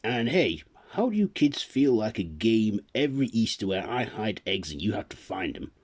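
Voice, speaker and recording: Man doing a talking rabbit, casual and laid-back, low husky voice, storybook. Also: big pitch swings, moderate pace. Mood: easygoing.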